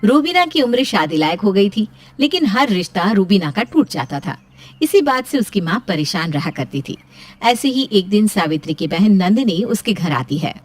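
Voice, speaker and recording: A woman's voice: medium pitch, big pitch swings, moderate pace, slightly rough. A woman narrating a scripted story as a storyteller.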